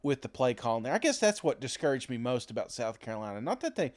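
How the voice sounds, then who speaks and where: A man's voice: medium pitch, sing-song, fast talker. A man co-hosting a casual sports podcast, talking in a folksy, rambling, self-deprecating way. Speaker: podcast co-host.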